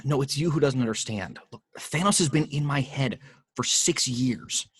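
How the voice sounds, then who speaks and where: A man's voice: mid-range voice, normal speed, natural voice. A man playing a stubborn, weary, sardonic superhero in an amateur script read, with a dry conversational delivery. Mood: tense, stubborn.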